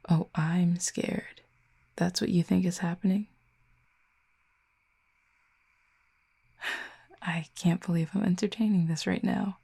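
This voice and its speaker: A woman playing a wry, tender, guarded Cupid in an intimate audio roleplay, with soft, breathy, husky delivery and gentle, slightly formal phrasing. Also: lowish voice, heavily acted. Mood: amused, teasing, then incredulous.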